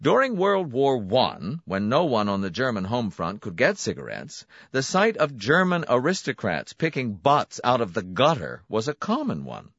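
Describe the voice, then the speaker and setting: A man voicing a smooth, smiling, menacing clinic director who relishes his words. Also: mid-range voice, wildly swooping pitch, normal speed, few pauses, a bit bright, no echo, lo-fi sound, voice pushed up, full character voice. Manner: silky, pointed, relishing emphasis.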